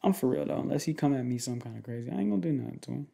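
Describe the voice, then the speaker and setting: A young man in a casual, improvised boyfriend roleplay, speaking informally in slangy, halting, rambling phrases. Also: mid-range voice, lively intonation, vocal fry, warm tone. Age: young adult. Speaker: ASMR roleplay performer playing a protective boyfriend. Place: small indoor room at home.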